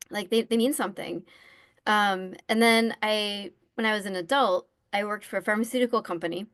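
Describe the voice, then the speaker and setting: A woman author, a guest, chatting casually over a video call about how she started writing, soft-spoken with plenty of fillers. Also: medium pitch. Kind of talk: casual storytelling about her writing path.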